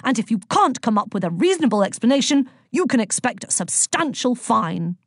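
A woman voicing a huge, stern female health and safety inspector who barks and booms indignantly in a storybook reading. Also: very animated, moderate pace, slightly rough, dry recording, clean studio sound, full character voice. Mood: stern, barking. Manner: booming, barking, indignant.

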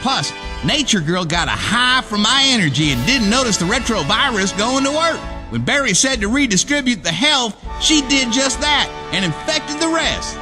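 Casting: wry young man, high voice, folksy drawl, idealistic radio-play hero. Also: big pitch swings, moderate pace, hardly any pauses. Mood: explaining. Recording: music under radio play dialogue.

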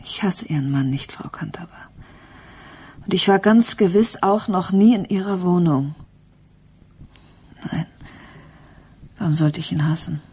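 An actress playing a grieving, resigned mother in a German radio crime play, speaking in a subdued, halting way. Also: lowish voice, a little mellow, slightly rough. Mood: subdued grief. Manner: subdued, halting, often near whisper. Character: grieving, resigned mother who lost her child.

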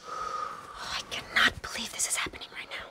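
An actress playing a tense, bossy, pragmatic woman in hiding, speaking urgently and hushed in a comedic audio drama. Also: high-pitched, animated, quick pace, very noisy. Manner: urgent, hushed, foul-mouthed.

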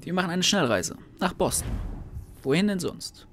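A man's voice: high-pitched, lively intonation, normal speed, fairly bright tone, slight background noise. A let's play host commenting on his gameplay in a casual, thinking-aloud way.

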